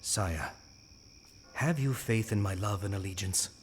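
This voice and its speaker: An actor playing a loyal knight and royal counsellor in an audio drama, speaking formally and persuasively. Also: male voice, lowish voice, measured pace, a little hiss or hum, lightly acted. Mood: earnest. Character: loyal, earnest, firm knight counselling his king.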